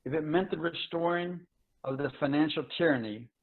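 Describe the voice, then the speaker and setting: A man plays a worn-out starship commander, somber and resigned. He speaks in measured, solemn phrases as in a staged reading. The sound is narrow and phone-like, as over a video call. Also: on the high side, close to an everyday voice. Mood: somber resignation. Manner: measured, solemn dramatic delivery. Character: tired space commander Lars, aged by voyage, somber. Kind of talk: dramatic virtual play reading.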